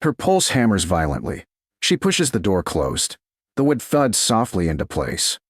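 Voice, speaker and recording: A man with a low, husky voice narrates a romance audiobook in short, suspenseful phrases. His pitch rises and falls dramatically, and the recording is close and dry. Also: huge pitch swings, measured pace, dry recording.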